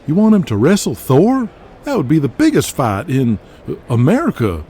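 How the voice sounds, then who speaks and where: A man's voice: a little high, huge pitch swings, moderate pace, dark tone, a little hiss or hum, pitched above the performer's own voice. A man voicing a brash, pushy male wrestling promoter in an audio drama, urgent and emphatic. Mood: amazed.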